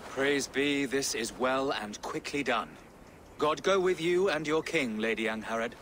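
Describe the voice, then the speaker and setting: A man playing a courteous, pious medieval bishop in a video game, speaking in a formal, measured manner. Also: mid-range voice, fairly bright tone, a little hiss or hum, lightly acted. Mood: gracious. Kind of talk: video game dialogue acting. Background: music.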